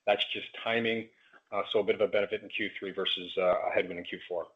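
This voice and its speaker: A man with a lowish, husky voice explains figures as a finance executive on an earnings call. His delivery is halting, with repeated words, and stays very flat. The audio is slightly muffled. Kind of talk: answering analyst questions on earnings call. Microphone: conference call line.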